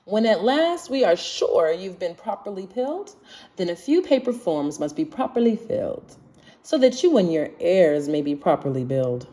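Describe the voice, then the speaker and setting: A woman reading a children's rhyming picture book aloud in an expressive, singsong way. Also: lowish voice, moderate pace. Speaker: storybook reader.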